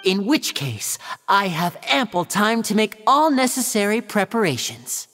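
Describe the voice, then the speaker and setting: A showy young male magician in a video game. The delivery is animated and theatrical, courteous and a little teasing, with faint music underneath. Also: mid-range voice, unhurried, big-room sound. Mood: showy, gracious. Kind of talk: video game character acting, stage patter.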